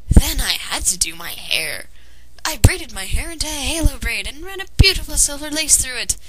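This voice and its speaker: A woman's voice: high-pitched, very animated, moderate pace. A woman reading a fan fiction story aloud as narrator, in a dramatized way. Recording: noisy amateur home recording.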